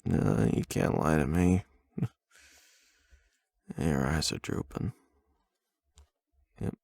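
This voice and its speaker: Very deep, gravelly, slow-talking man. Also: lively intonation, dry recording, studio quality. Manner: hushed, unhurried, intimate. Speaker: ASMR boyfriend roleplay performer. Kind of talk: soft bedtime reading and intimate ASMR roleplay.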